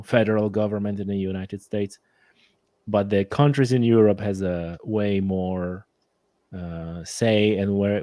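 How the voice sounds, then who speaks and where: Low-voiced Polish-accented man. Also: dark tone, no echo. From Poland. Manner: hesitant, restarts phrases, non-native grammar. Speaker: guest. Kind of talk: casual conversation.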